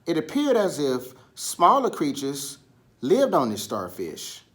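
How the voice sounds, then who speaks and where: A man telling a children's story in an animated style, with dramatic pauses and drawn-out, emphatic words. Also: fairly high pitch. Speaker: storybook reader.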